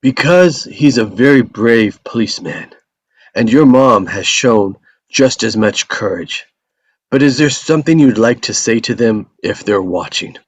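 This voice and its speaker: An actor reading a pushy, smooth-talking male TV reporter at a table read. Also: mid-range voice, animated, a little slow, dry recording. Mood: soft coaxing.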